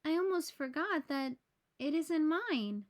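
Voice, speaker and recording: An earnest little boy animal from a storybook, acted out by a woman reader. The voice is raised high and sounds childlike and eager, with careful, slow delivery. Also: lively intonation. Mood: sheepish.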